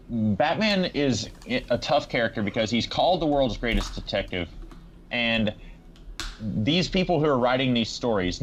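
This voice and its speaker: A man giving his opinions in a casual, informal way as a podcast co-host. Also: medium pitch. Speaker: podcast co-host reviewing comics. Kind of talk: casual discussion. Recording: muffled lo-fi mic with background noise.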